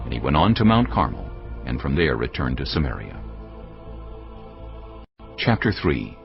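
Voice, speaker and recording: Male scripture narrator, extremely deep, creaky, solemn, measured, dramatic. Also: big pitch swings. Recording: dull lo-fi sound, music underneath. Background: faint music.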